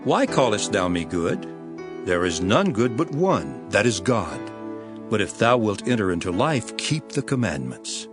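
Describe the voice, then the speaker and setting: Male grave scripture teacher, animated mid-range delivery, solemn emphatic oratory, music underneath. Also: fairly low pitch, a little slow. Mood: earnest instruction. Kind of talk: dramatized scripture reading.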